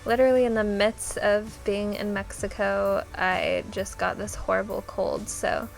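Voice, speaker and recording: A woman's voice: medium pitch, expressive, measured pace, gravelly, faint music behind, rough recording. A young woman who sounds nasal as she gets over a cold, chatting casually with many ums and likes as she narrates her journal spread. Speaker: bullet journal vlogger.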